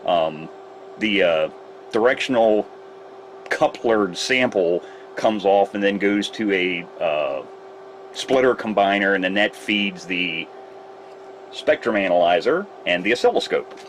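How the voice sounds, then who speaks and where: A man's voice: deep voice, lively intonation, unhurried, a little hiss or hum, poor audio. A man who repairs radios, casually explaining his test setup step by step in a workshop. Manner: rambling, explains gear step by step. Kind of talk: casual technical demonstration. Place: repair shop workbench with test gear.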